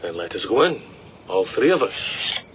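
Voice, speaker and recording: A man's voice: on the low side, big pitch swings, a little slow, background noise. An actor playing a menacing, mocking bandit swordsman in a radio drama, condescending and mock-courteous. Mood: sly, genial.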